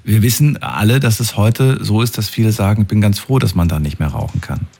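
A man hosting a radio call-in, laying out his counterpoints in a measured, reasoned way with a few hesitations. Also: lowish voice, creaky, a little mellow, husky. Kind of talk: casual radio call-in chat.

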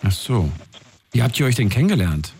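A man's voice: medium pitch, sing-song, a little fast, gravelly, a little mellow, breathy edge. A man hosting an evening radio call-in show, asking callers friendly, probing questions. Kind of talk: casual call-in radio talk.

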